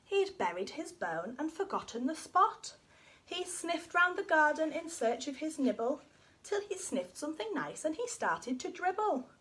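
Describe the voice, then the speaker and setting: A woman reading a rhyming children's story aloud in an expressive, sing-song way. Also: high-pitched, a little slow.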